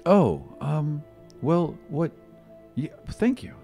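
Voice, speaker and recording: A man voicing a modest, earnest young female demigod student in a tabletop game, halting and matter-of-fact. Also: medium pitch, lively intonation, mellow, soft background music, pitched above the performer's own voice.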